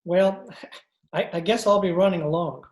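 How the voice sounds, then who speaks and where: A woman's voice: lowish voice, moderate pace, a little mellow, close to an everyday voice. A woman playing a breezy, wisecracking male con artist adventurer in old-time radio drama style, with a wry storytelling manner. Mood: flirtatious. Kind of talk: old-time radio drama acting and first-person narration. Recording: roomy video-call sound.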